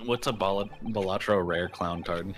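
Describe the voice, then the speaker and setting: A man's voice: low voice, normal speed. A man making casual remarks among friends on a game dubbing stream. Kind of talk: casual chat during a game dub stream.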